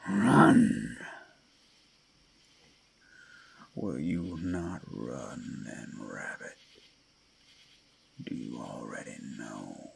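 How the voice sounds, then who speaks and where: A man playing a predatory male werewolf in an ASMR roleplay, menacing and seductive, theatrical, with a gravelly voice that often drops to whispers. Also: a bass voice, very animated, extremely slow, slight background noise, exaggerated voice. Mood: predatory, threatening. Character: predatory male werewolf toying with his prey. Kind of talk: ASMR roleplay, dramatic monologue.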